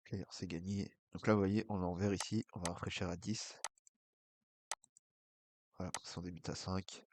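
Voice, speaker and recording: Low, flat-voiced man with a stuffy nose. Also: moderate pace, background noise. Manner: mutters half to himself while clicking through bets. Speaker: online casino streamer. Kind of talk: casual play-by-play of an online baccarat session.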